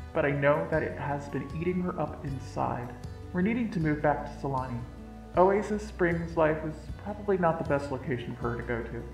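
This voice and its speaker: A reader voicing an earnest, gentle young husband and father-to-be in a storybook tale, speaking plainly and sincerely. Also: medium pitch, roomy sound, close to an everyday voice, rough recording. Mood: formal. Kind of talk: reading a story's dialogue and letter.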